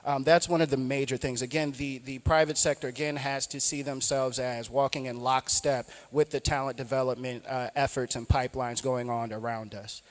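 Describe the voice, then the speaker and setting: A man's voice: mid-range voice, little pitch movement, normal speed, few pauses. A man speaking on a conference panel as a founder, talking earnestly with many ums and restarts. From outside Nigeria. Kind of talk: panel discussion talk. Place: conference hall. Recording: echoey hall.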